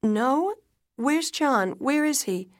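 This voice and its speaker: A woman's voice: fairly low pitch, takes it slowly, dry recording, clean studio sound. A woman playing a distraught mother and wife, pleading urgently, in dramatic storytelling. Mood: desperate. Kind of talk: dramatic audiobook-style storytelling.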